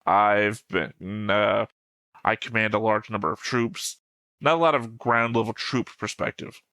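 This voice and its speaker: A man's voice: lowish voice, fairly even intonation, measured pace, pushed and bright, dry recording. A man in a casual fan discussion as a show co-host, talking in a pedantic, insistent way.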